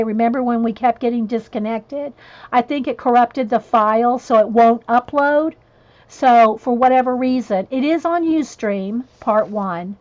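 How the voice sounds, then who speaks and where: A woman's voice: a little high, darkish tone. A woman chatting casually while she colors on a livestream, rambling and answering viewers as she goes. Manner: chatty, rambling, reads chat messages aloud and answers viewers. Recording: dull lo-fi livestream audio.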